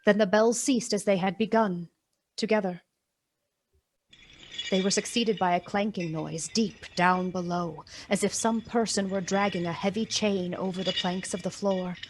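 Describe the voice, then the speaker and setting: A woman narrating a radio play as a storyteller, deliberate, suspenseful and emphatic. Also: medium pitch, fairly even intonation, noisy background, natural voice. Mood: ominous. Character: storyteller of a gloomy Victorian ghost tale. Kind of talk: scripted dramatic storybook narration.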